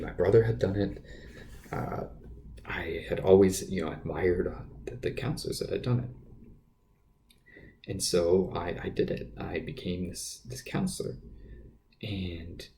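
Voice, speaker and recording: A man with a naturally quiet voice telling a personal story off the cuff as a podcast host, hesitant and often repeating his words. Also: deep voice, slow, creaky, long pauses, slight background noise. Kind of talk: unscripted personal storytelling monologue.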